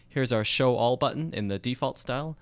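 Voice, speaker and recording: A man explaining code step by step in a screencast tutorial, steady and methodical. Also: medium pitch, fairly quick, smooth, no echo. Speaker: programming tutorial instructor. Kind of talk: screencast coding walkthrough.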